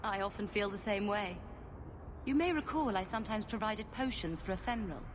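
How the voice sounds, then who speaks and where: A woman's voice: mid-range voice, moderate pace, natural voice. An actress voicing a poised, businesslike lady potion seller in a video game, genteel and slightly haughty. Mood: poised, friendly. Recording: noisy game capture with ambience.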